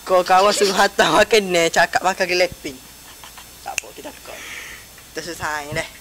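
A man's voice: high-pitched, normal speed, over music. A young man with an east coast Malay accent, bantering casually as he hosts prank phone calls. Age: young adult. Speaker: prank call video host.

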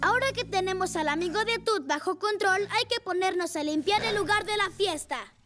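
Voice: extremely high pitch, normal speed. A performer voicing a cheerful, keen young motorbike in a preschool cartoon, upbeat and exclaiming. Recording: music behind the voice.

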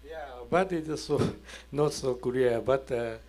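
A man in his sixties with a Japanese accent, speaking halting, broken English as a conference panelist. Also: mid-range voice, darkish tone. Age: 60s. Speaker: panelist, company executive. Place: indoor conference event on a stage. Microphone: handheld microphone.

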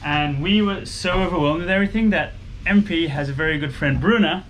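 A man's voice: fairly high pitch, a little fast, background noise. A young man giving a casual walkthrough of the wooden boat he is restoring, filmed with a handheld camera. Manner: joking, explaining while walking around. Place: inside a wooden boat cabin under restoration. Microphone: handheld action camera at arm's length. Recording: background music under the voice.

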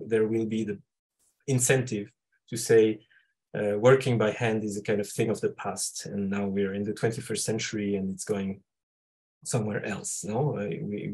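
A man from Switzerland speaking as a guest architect in an illustrated online lecture, reflective and halting as he thinks aloud. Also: medium pitch. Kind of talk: illustrated lecture over slides. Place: indoors, online video call.

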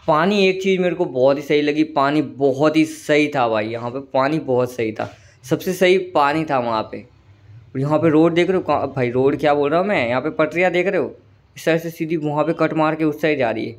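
Gaming host, male, somewhat high voice, a little hoarse, casual buddy-style play-by-play. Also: fairly quick. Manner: chatty, keeps calling viewers "brother". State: slightly hoarse throat. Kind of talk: casual gameplay commentary.

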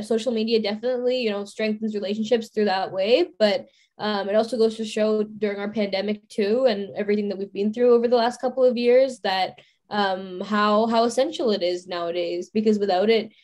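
A woman's voice: medium pitch, fairly even intonation, normal speed, a little mellow. A university student in her twenties speaking on a video call panel, thoughtful and circling back with frequent "you know" and restarts. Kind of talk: casual panel discussion.

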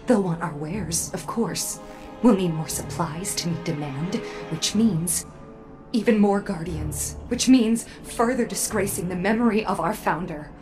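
A woman voicing a stern, guarded android armorer in a video game, with formal, cold, measured speech. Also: fairly low pitch, close to an everyday voice. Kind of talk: video game character dialogue. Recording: music under the voice.

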